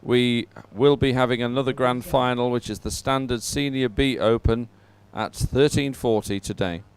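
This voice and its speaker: A man's voice: medium pitch, normal speed, clear, resonant tone. A man presenting live coverage of a sporting event to viewers, talking as a broadcast host.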